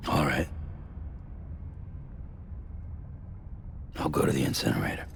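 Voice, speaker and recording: An actor playing a gruff, weary, stoic courier hero in a video game, with terse, blunt delivery. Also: male voice, rumbling low, rapid-fire, slight background noise, full character voice. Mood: resigned. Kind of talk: video game cutscene acting. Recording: loud new-age music behind.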